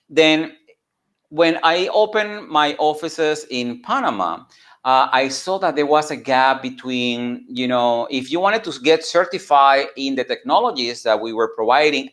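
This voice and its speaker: A man from Venezuela, a native Spanish speaker with a Venezuelan accent, telling his story informally as an entrepreneur and mentor, in an earnest, halting way that repeats phrases. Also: medium pitch, a bit bright. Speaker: entrepreneur and mentor giving a talk.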